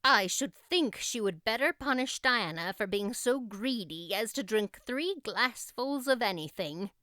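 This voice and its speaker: Audiobook role: no-nonsense older guardian woman, acted by a woman, gruffly kind, brisk and curt, smooth dry sound. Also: fairly high pitch, animated, pushed and bright, no room sound. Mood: brisk, exasperated. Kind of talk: audiobook character acting.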